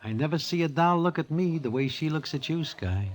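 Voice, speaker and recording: An actor playing a streetwise gambler's sidekick and storyteller in an old-time radio comedy, with a New York accent and stilted, formal slang delivered deadpan. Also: male voice, fairly high pitch, fairly quick, lightly acted. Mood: puzzled, wry.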